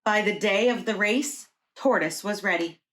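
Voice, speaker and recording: Female preschool teacher, mid-pitched voice, reading a storybook aloud to young children. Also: normal speed, fairly bright tone.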